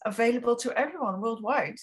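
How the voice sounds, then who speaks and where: A woman's voice: on the high side, fast. An older woman moderating an online seminar over a video call, with light, amused interjections. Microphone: laptop webcam video call.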